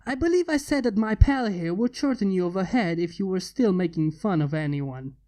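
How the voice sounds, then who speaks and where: A man's voice: high-pitched, animated, normal speed, dark tone. A man voicing a cocky, teasing inventor in a story, with a casual, taunting manner. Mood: taunting menace. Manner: taunting, casual, mock-menacing. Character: cocky, teasing human male inventor with armored bodyguards.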